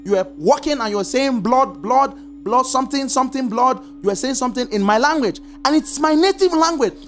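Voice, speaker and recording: A man's voice: extremely high pitch, expressive, brisk, a bit bright, faint music behind, voice pushed up. A preacher voicing a middle-aged Lebanese neighbor man, insistent and questioning, in a retold story. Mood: incredulous.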